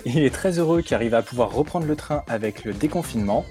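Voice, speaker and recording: Male host, warm mellow tone, upbeat banter, faint music bed behind. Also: medium pitch, expressive, fairly quick. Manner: upbeat, playful introductions. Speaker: podcast host. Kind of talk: casual remote chat show.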